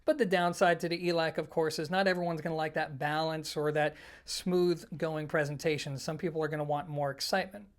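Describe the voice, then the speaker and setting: Review host, medium pitch, conversational, explanatory, informal presentation, direct address to viewers. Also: steady tone, a little fast. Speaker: host of an audio equipment review channel.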